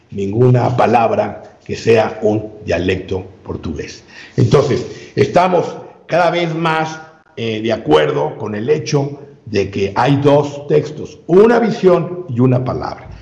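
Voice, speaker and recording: A man speaking as an interview guest, giving a long measured explanation with frequent filler sounds and restarts. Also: medium pitch, creaky, a little mellow. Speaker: guest researcher. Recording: roomy, dull lo-fi sound.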